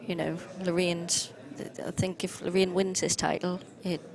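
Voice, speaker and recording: A woman's voice: fairly low pitch, level tone, moderate pace, vocal fry, a crowd in the background. A woman co-commentating live on a pool match in a chatty way, with frequent fillers.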